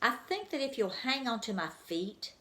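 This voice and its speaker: A small, helpful bird chirps up high and eager in a storybook reading, as a woman puts her on. She talks in a practical, quick way. The room around her is echoey. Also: sing-song, bright, forceful tone.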